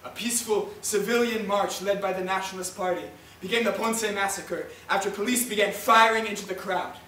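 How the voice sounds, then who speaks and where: A man's voice: sits high, steady tone, a little fast, bright, forceful tone. A man acting an elderly, proud Puerto Rican storyteller on stage, speaking in a solemn, declamatory way. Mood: grave. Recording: echoey stage, far microphone, faint noise.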